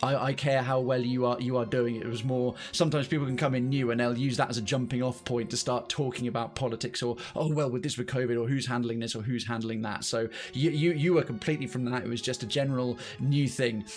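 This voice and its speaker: Male game stream host, mid-pitched, chatty and informal, with music in the background. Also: fairly even intonation, fast, few pauses. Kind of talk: casual livestream chat to viewers.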